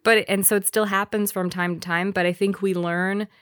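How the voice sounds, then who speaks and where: A woman's voice: medium pitch, level tone, normal speed, a bit bright, smooth, dry recording, clean studio sound. A woman, co-hosting a casual podcast and explaining things in fluent, easy sentences. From Wisconsin, USA. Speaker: podcast co-host. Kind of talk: casual chat.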